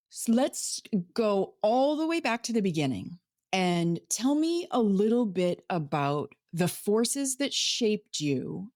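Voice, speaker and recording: A woman's voice: medium pitch, darkish tone, no echo, studio quality. A woman hosting an interview podcast, introducing the episode and asking encouraging follow-up questions. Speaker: podcast host.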